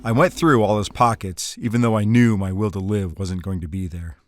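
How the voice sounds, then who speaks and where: A man acting as a wisecracking, excitable hardboiled detective type in a noir audio drama, with slangy tough-guy talk. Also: lowish voice, normal speed, dry recording, clean studio sound, close to an everyday voice. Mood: wry, deadpan. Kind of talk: hardboiled noir radio-play narration and dialogue.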